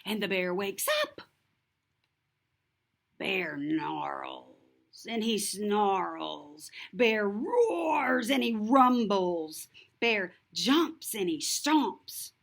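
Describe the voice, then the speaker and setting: Very slow, animated woman storyteller. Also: medium pitch, vocal fry. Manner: expressive, deliberate, sing-song rhyme reading. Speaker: storybook reader. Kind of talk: scripted children's read-aloud narration.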